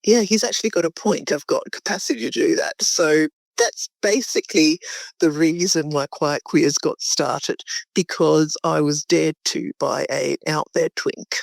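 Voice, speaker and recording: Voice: mid-range voice, measured pace, vocal fry, no echo. A group founder talking casually in an interview, in a hesitant, thoughtful way.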